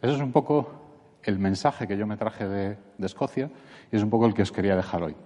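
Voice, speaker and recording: Animated Spanish man giving a conference talk. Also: fairly low pitch, fast. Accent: Spanish from Catalonia. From Barcelona. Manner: animated, acts out quotes in a mocking voice. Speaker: conference speaker. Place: conference hall. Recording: echoey conference hall.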